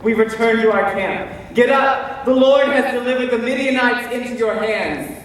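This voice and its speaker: A man playing Gideon, a fearful biblical warrior, in an emphatic, hesitant stage monologue. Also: high voice. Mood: rousing. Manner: theatrical, emphatic, with deliberate pauses and hesitant stammers. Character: Gideon, fearful, self-doubting biblical warrior telling his story. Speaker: stage actor. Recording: distant mic in echoey hall, faint noise.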